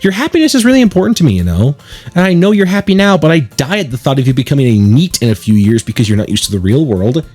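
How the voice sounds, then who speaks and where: Man putting on a high, bubbly schoolgirl. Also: very animated, fast talker, darkish tone, soft background music. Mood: pleading, cheerful. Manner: bubbly, pleading. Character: carefree, sweetly nagging teenage schoolgirl, childhood friend. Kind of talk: visual novel character read-aloud.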